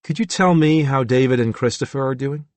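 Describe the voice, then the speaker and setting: A man's voice: mid-range voice, brisk, no room sound, voice pushed up, lightly acted. Man voicing a patient woman interviewer. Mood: probing. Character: gentle, probing woman interviewer visiting a prisoner.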